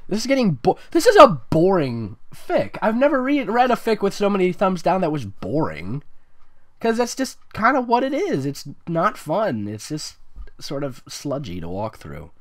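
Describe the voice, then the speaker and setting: A man's voice: high-pitched, wildly swooping pitch, normal speed, dry recording, studio quality. A man reading fan fiction aloud with sarcastic, mocking ad-lib commentary.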